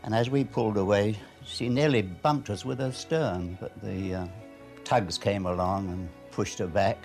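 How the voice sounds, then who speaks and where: An older man gives a plain spoken reminiscence. His voice is gravelly and fairly low, with faint music in the background. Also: unhurried, darkish tone. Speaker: shipwreck survivor.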